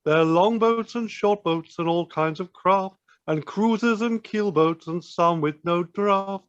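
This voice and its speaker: A man's voice: high voice, a little slow, no room sound. A man singing a jaunty folk-style song in a muffled lo-fi recording.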